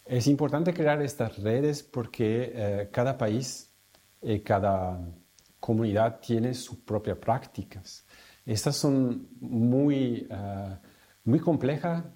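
A male researcher speaking in a halting way, with many fillers and restarts. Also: mid-range voice, mellow. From Italy. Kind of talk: interview remarks.

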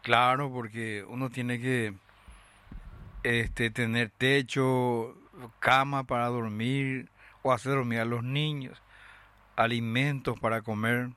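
A man hosting a radio show, reading the news and chatting with callers in a measured, explanatory way with many tag questions. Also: medium pitch, bright, forceful tone, clean tone, dry recording. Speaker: radio host.

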